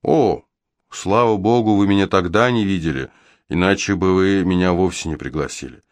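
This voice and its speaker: A man's voice: low-pitched, moderate pace, dry recording, clean studio sound, lightly acted. A man voicing a self-assured, teasing young woman cellist in an audiobook, with frank delivery. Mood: frank, open.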